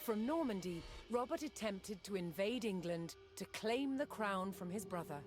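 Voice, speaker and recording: A woman reading scripted historical documentary narration in a measured, dramatic storytelling manner. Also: medium pitch, animated. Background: loud cinematic background music.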